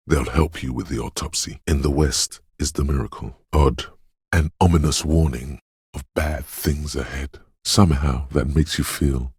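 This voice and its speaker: A man voicing a video game's inner voice of intuition, dreamy, ominous and mysterious, narrating in a portentous, poetic way with a deep, gravelly, husky tone. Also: darkish tone, no room sound, studio quality, heavily acted. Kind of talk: scripted second-person video game narration.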